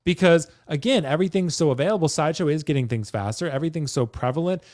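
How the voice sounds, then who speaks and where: A man's voice: fairly high pitch, fast talker, a little mellow, dry recording, clean studio sound. A man in his early thirties hosting a casual chat about collecting. He keeps tagging his points with "right?" and "like I said.". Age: 30s. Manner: chatty, says "right?" and "like I said" often. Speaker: host.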